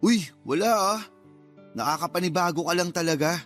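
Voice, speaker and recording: A teasing young cousin in a radio drama, jokey banter, highish voice, bit of music behind. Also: male voice, normal speed, fairly bright tone. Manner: joking, bantering. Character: playful, teasing young male cousin, food-loving.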